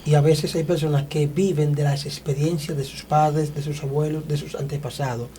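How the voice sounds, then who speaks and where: Mellow-voiced male evangelist host. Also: a little high, fairly even intonation, normal speed, slight background noise. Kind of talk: church interview hosting.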